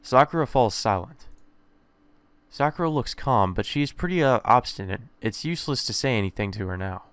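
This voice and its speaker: Clear-voiced man narrating a visual novel. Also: mid-range voice, animated, fairly quick, fairly bright tone, dry recording. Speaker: let's play host. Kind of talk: reading visual novel narration aloud with casual asides.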